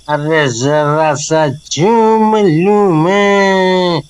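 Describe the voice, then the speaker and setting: A man's voice: high voice, slight background noise. A man voicing a cocky, tipsy village drunkard in a cartoon, with drawling speech.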